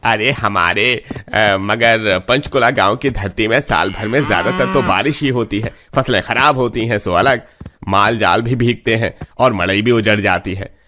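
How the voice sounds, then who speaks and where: A man's voice: fairly low pitch, normal speed, sharp tone. A man playing a poor village farmer in a cartoon story, with plain rustic, drawling talk. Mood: complaining.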